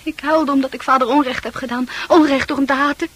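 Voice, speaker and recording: An actress playing a dreamy, sensitive, troubled young woman in a Dutch radio play, confiding in earnest, halting, poetic speech. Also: high-pitched, steady tone, a bit bright, no echo. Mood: distressed, insistent.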